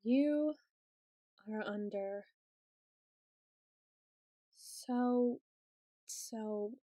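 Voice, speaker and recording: A woman's voice: on the high side, dry recording, studio quality. A woman playing an awkward, bookish, secretly obsessive student in an ASMR roleplay, speaking in stilted, over-formal phrases with some hesitation. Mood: hesitant, concerned.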